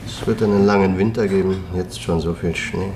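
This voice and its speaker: An actor playing a guarded, resigned country innkeeper in a radio crime drama, answering in a terse, evasive way. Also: male voice, low-pitched, fairly even intonation, brisk, darkish tone, a little hiss or hum.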